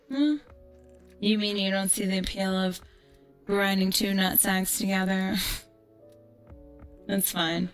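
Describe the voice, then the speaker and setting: A woman's voice: medium pitch, fairly bright tone. A woman streamer chatting casually and ranting in a brash, profane, sarcastic way. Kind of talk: casual livestream chat with ranting storytelling.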